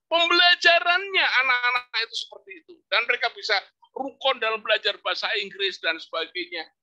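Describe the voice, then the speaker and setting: Bright-voiced older professor telling stories. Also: male voice, very high voice, fairly quick, muffled recording. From Indonesia. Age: 60s. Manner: storytelling, lively rising emphasis, religious exclamations. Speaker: psychology professor giving a talk. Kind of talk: informal religious study lecture.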